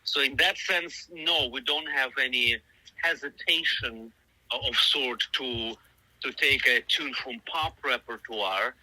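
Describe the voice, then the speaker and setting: A man's voice: mid-range voice, slow pace, sharp tone, dry recording, poor audio. A middle-aged man whose first language is Russian, giving long, thoughtful interview answers full of fillers and restarts. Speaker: musician guest.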